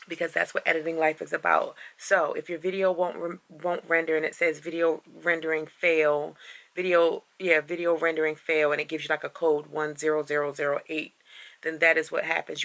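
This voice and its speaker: A woman vlogging in a casual, rambling way, with a raspy deep voice after a late night and lots of fillers. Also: fairly bright tone. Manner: rambling, many fillers and restarts. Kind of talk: casual vlog chat.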